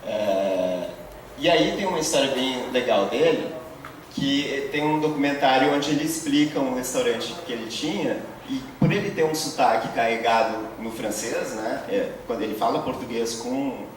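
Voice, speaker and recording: A man's voice: mid-range voice, moderate pace. Man lecturing in a very echoey room. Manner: many fillers and tag questions, explanatory. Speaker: course instructor. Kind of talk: university lecture. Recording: very echoey, distant, faint room noise.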